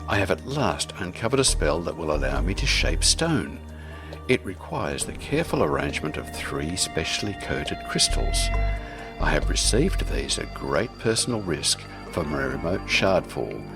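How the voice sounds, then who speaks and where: A man's voice: low-pitched, creaky, heavily acted. A man voicing a lovesick, self-important knight reading from his diary in a florid, earnest way over music.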